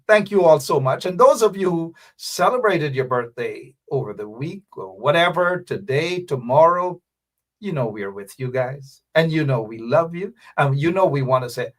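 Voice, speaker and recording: A man's voice: fairly high pitch, expressive, normal speed. A man hosting a livestream show, chatting casually and rambling with frequent asides. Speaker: host.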